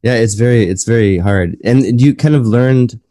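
Male academic, warm dark voice, animated, enthusiastic, dry close recording. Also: medium pitch, normal speed, no room sound. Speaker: academic researcher. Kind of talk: casual academic conversation.

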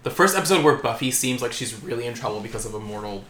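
A man co-hosting a podcast in casual chat, animated and laughing often, swearing freely. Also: medium pitch, very fast. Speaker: podcast co-host. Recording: echoey room with faint noise.

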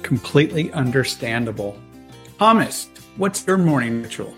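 A man's voice: mid-range voice, lively intonation, moderate pace, soft background music. A man hosting a podcast interview who politely thanks each guest and asks the next question. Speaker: podcast host.

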